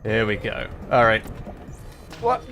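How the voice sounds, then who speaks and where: A man chatting casually on a livestream while commenting on his gameplay, often thinking aloud haltingly. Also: fairly low pitch, big pitch swings, creaky, a bit bright, muffled recording, soft background music. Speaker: livestreamer playing a game. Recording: faint background noise, game ambience.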